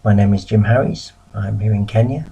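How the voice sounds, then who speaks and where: A middle-aged man from the UK giving a recorded lecture as a missionary, measured and pausing often. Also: on the low side, vocal fry, dark tone, breathy edge, lo-fi sound. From United Kingdom.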